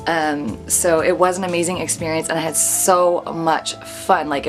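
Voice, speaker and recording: A woman vlogger chatting casually to her viewers in an upbeat, gushing manner. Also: fairly low pitch. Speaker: vlog host and aspiring singer. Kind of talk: casual chat to camera.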